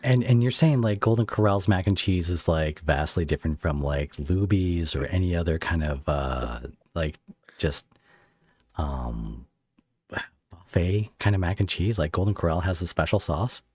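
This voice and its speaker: Low-voiced, husky male interviewer, lively intonation. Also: moderate pace, dry recording. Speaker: podcast host, interviewer. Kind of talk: casual interview chat.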